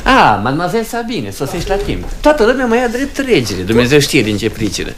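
A man playing a courteous, gallant gentleman in love in a classic Romanian radio comedy, polite and measured, on a noisy vintage recording. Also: on the high side, wildly swooping pitch. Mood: gallant, earnest.

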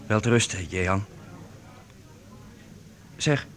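An actor playing an earnest, dreamy youth with wide-eyed enthusiasm in a radio play. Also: male voice, fairly low pitch, slow, a bit bright. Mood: warm, sincere. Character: earnest, dreamy youth enraptured by colours, longing to paint. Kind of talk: Dutch radio play acting. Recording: noisy radio play recording.

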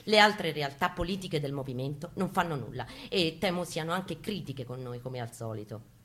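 A woman's voice: low voice, expressive, moderate pace. A woman giving a theatrical, colloquial and emphatic first-person reading of a story. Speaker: reader at a spoken-word reading. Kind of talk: dramatic first-person reading of a narrative with guitar accompaniment. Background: acoustic guitar playing softly.

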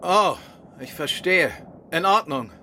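Wry, lively gentleman detective. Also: fairly high pitch, slow pace. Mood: keen. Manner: wry, courteous, in command. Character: suave, wry male gentleman detective, fond husband. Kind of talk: German radio crime play acting. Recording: clear vehicle noise behind.

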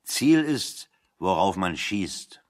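Deep-voiced, wry, talkative man. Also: very animated, takes it slowly, full character voice. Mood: dry irony. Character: wry, talkative man philosophizing ironically about freedom. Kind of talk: German radio play dialogue.

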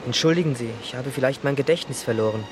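A man's voice: medium pitch, fast talker, over music, natural voice. An actor playing a bewildered, pleading young man in a radio play, agitated and protesting.

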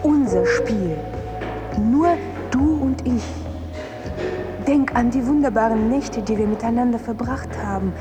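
An actress playing a possessive temptress in a radio drama, coaxing softly and intimately, with music behind. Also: female voice, fairly high pitch, unhurried, lightly acted. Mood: seductive, wounded. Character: seductive, possessive female temptress voice.

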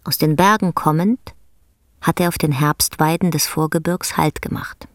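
An actress as a grave, contemplative storyteller, narrating a radio play in a husky, breathy voice. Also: female voice, deep voice, fairly even intonation, moderate pace, dry recording, studio quality, close to an everyday voice. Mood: grave, reflective.

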